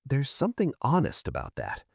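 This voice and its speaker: A man voicing a quiet, weary, elegant woman in a story, with soft, brief, hesitant replies. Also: medium pitch, wildly swooping pitch, fairly quick, creaky, breathy edge, dry recording, studio quality, voice pushed up, full character voice. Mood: quietly curious.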